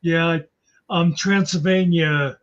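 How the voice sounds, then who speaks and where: A man's voice: a little high, steady tone, takes it slowly. An American man joking wryly in casual panel banter, on a slightly dull, lo-fi mic. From United States. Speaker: talk show panelist.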